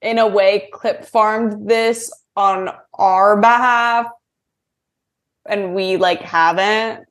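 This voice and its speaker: A woman's voice: medium pitch, fairly even intonation, drawn-out words. A woman hosting a podcast in casual comedic banter, slangy and sweary, often poking fun at herself. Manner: slangy, sweary, self-mocking. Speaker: podcast host.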